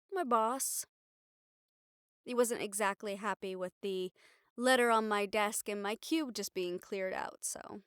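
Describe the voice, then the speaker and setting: A woman's voice: mid-range voice, takes it slowly, pauses often, clear, resonant tone, dry recording, clean studio sound, natural voice. A woman acting a weary, sardonic, stubborn young newspaper reporter in an intimate audio roleplay, talking in a casual, wry, sweary way. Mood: tired, wry.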